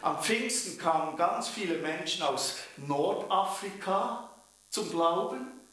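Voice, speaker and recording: A man's voice: high voice, expressive, slow, fairly bright tone. A man from Bern with a Swiss German accent preaching a sermon, telling it emphatically with rhetorical questions. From canton of Bern, Switzerland. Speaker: preacher and mission leader. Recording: very echoey room, distant from microphone.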